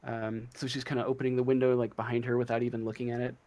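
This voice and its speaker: A man working as game master, improvising a tabletop role-playing story in a halting, drawn-out way. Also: mid-range voice, flat delivery, darkish tone.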